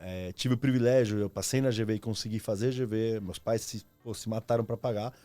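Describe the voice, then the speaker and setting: A man's voice: fairly low pitch, steady tone, brisk, dark tone, no room sound. Informal São man in his thirties. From Argentina. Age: 30s. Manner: informal, slangy, reflective. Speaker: podcast guest, former marketing executive. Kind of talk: casual conversational storytelling.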